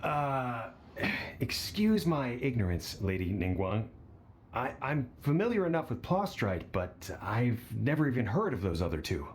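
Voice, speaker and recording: An actor playing a deferential, opportunistic merchant in a video game, with a polite, ingratiating manner. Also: male voice, medium pitch, sing-song, unhurried, slight background noise. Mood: apologetic.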